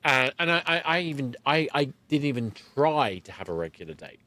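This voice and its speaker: A man's voice: medium pitch, sing-song, a little fast, pushed and bright, no echo. A man hosting a conversational podcast interview, often repeating and rephrasing his words as he goes. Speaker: podcast host.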